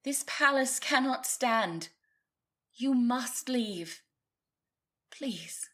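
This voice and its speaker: A woman playing an earnest, solemn griffin advisor in a fantasy audio roleplay, breathy, grave and formal. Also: a little high, expressive, very slow, pushed and bright, heavily acted. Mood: pleading.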